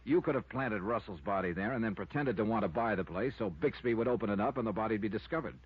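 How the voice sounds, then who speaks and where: An actor playing a dogged, weary but wry investigator in a 1950s radio detective drama, with clipped, punchy phrasing. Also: male voice, lowish voice, fast, slightly rough, no room sound. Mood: accusing. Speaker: lead actor and narrator. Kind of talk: 1950s radio detective acting and first-person narration. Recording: muffled vintage radio recording, music underneath.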